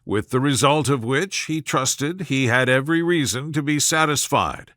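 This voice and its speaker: A man narrates a classic novel for bedtime in a measured, deliberate way. His voice is bright with lively pitch movement, recorded dry and close. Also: dry recording. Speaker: audiobook narrator. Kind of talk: scripted narration of a classic novel as a sleep story.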